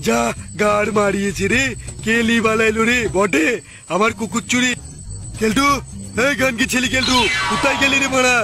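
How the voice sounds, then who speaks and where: A man acts out a blustering male cartoon boss with a high voice. He curses crudely and bullies those under him, with music playing behind him. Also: fairly even intonation, normal speed. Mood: irritated. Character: bullying foul-mouthed male boss, frantic about his lost dog. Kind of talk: comic cartoon parody dubbing.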